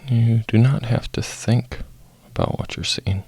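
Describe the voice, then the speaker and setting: Soft low guy doing a guided meditation, super slow w long pauses, husky breathy voice. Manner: slow, soft-spoken, long pauses between short phrases.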